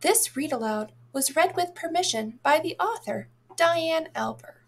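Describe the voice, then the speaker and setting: A woman reading a children's storybook aloud in an expressive, deliberate way for young listeners. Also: sits high. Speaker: read-aloud narrator, teacher.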